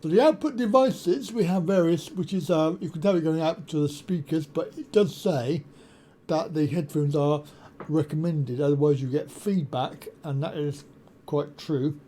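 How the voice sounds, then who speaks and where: A man hosting a tech tutorial, explaining a software setup step by step with frequent pauses and ums on a desktop USB mic at his home computer desk. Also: fairly high pitch. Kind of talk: screen-share software walkthrough. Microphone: desktop USB condenser mic.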